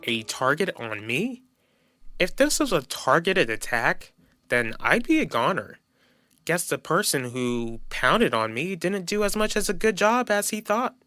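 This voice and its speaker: A man playing an easygoing, flippant man who shrugs off danger in an animated soap opera. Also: on the high side, measured pace, dry recording, exaggerated voice. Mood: joking. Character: easygoing joking man, recovering from an attack.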